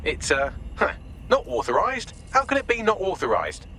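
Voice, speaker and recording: An actor voicing a chatty, sardonic AI assistant in a video game, dry and wordy. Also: male voice, high-pitched, very animated, normal speed, sharp tone, slight background noise, full character voice. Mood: exasperated. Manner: wry, sardonic, verbose.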